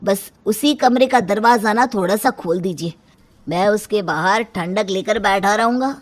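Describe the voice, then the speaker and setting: A woman's voice: mid-range voice, moderate pace, lightly acted. Woman playing a grumbling, stubborn uncle. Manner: complaining, insistent. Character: stubborn, overheated male uncle grumbling comically.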